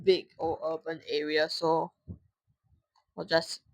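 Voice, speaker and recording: A woman's voice: low-pitched, flat delivery, takes it slowly, a little mellow, dry recording. A young woman from Singapore giving casual reaction commentary, informally talking back to the video she is watching.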